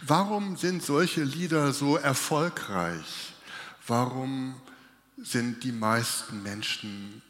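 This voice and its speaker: A man preaching a sermon with a cold, speaking slowly and reflectively with pauses. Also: medium pitch, fairly bright tone. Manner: slow, reflective, with pauses and restarts. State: has a cold, coughing. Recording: long hall reverb.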